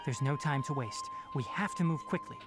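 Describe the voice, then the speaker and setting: A woman playing a brisk, stern but caring young male forest watcher in a video game, precise and no-nonsense. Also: deep voice, over loud music, natural voice. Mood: resolute.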